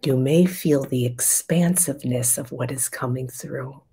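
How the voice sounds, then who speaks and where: An older woman with a raspy voice, a spiritual guide leading a soft, meditative session with chanting, heard over a video call from a room at home. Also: rumbling low. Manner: slow, soft and meditative, with pauses.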